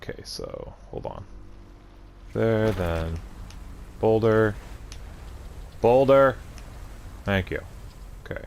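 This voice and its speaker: A man's voice: lowish voice, expressive, very slow, creaky, pauses often, a little mellow, slight background noise. A man hosting a gaming video with casual let's play commentary.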